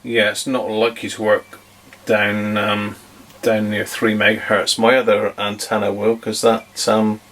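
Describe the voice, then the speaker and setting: A man's voice: lowish voice, completely flat delivery, a little slow, few pauses, a bit bright, slight background noise. A man giving a casual hands-on demonstration, thinking aloud as he goes. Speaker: vintage radio hobbyist presenter.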